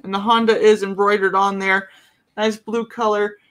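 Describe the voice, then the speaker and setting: A woman's voice: mid-range voice, level tone, normal speed, a bit bright, low-quality recording. Woman in her thirties, live-stream auction host, chatty and rambling, fillers, small bedroom, webcam sound.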